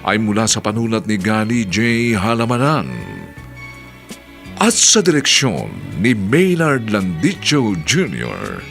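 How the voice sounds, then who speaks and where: A male radio drama announcer with a low, slow delivery and theatrical swings. His scripted, measured narration sits over clear background music. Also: big pitch swings, fairly bright tone.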